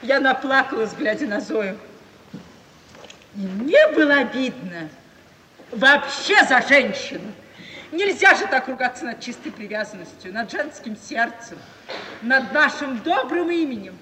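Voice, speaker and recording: An actress playing a shrewd, warm-hearted provincial gentlewoman in an expressive, emphatic 1950s Russian radio theatre style. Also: a little high, a little slow, a little hiss or hum, heavily acted. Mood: indignant. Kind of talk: mid-century Russian radio theatre acting. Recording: 1950s radio play, distant, echoey hall.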